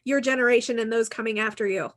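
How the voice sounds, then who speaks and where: A middle-aged woman speaks as a podcast co-host in an informal, conversational way. Her voice sits a little high for a woman. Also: steady tone, fast talker, fairly bright tone. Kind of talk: casual podcast chat.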